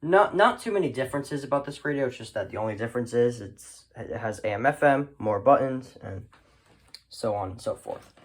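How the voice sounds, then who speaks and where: A man's voice: mid-range voice, lively intonation, fairly quick, husky. A man chatting casually as a hobbyist presenter, rambling and often trailing off at the end of his thoughts. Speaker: hobbyist collector presenting a video. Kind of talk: casual show-and-tell chat.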